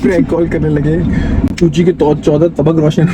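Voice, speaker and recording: A man's voice: a little high, little pitch movement, normal speed, over music. A man chatting casually as a prank caller between calls.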